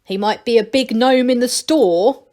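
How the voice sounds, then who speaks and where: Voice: medium pitch, measured pace, warm tone, lightly acted. A bossy old gnome granny from a storybook, as a woman reader puts her on. She scolds and gives orders, self-satisfied and firmly no-nonsense.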